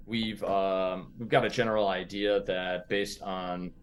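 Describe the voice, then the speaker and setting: Male game player, low register, casual and halting as he reasons out a plan, light noise behind. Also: flat delivery. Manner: halting, thinking aloud. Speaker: player in a tabletop role-playing game. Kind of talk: casual in-game planning talk.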